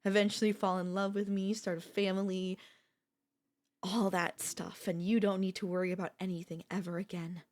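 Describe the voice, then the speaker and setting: A woman playing an obsessive, possessive sister-in-law in an intimate ASMR audio roleplay, coolly confessing her love with deliberate pauses. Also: mid-range voice, level tone, darkish tone, close to an everyday voice. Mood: tender, possessive. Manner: slow, deliberate, with long pauses.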